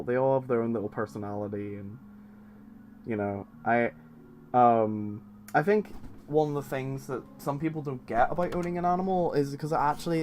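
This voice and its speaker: A man's voice: medium pitch, darkish tone, slight background noise. A man in his twenties chatting casually on a stream, rambling with many fillers and restarts. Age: 20s. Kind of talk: casual chat.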